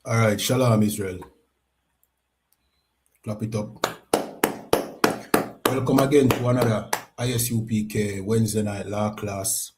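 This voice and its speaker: A man's voice: on the low side, steady tone, a little slow, pauses often, noisy background. A man with a Jamaican accent teaching a religious class, often asking "you know what I mean?". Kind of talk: lecture.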